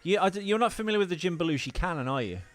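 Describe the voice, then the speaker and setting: A man's voice: high-pitched, animated, racing, faint music behind. A man co-hosting a podcast, chatting casually and comically about films in a rambling, joking way. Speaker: podcast co-host. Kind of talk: casual comedic film chat.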